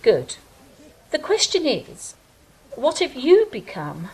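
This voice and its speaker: A woman playing an idealistic, earnest angel in an audio drama, speaking in a measured, philosophical way. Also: high voice, huge pitch swings, darkish tone, breathy edge, full character voice, low-quality recording. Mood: prodding. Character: idealistic, earnest female angel, wistful and stately. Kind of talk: stage-style audio drama acting.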